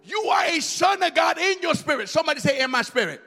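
A man preaching a sermon, swinging between loud shouted lines and quick quieter asides. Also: extremely high pitch, lively intonation. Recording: music under voice in a long-reverb hall.

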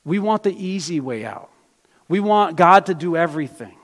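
A man preaching a sermon as pastor, born in Brazil and raised in the US, telling personal stories in an animated way. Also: sits high, moderate pace. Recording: long hall reverb.

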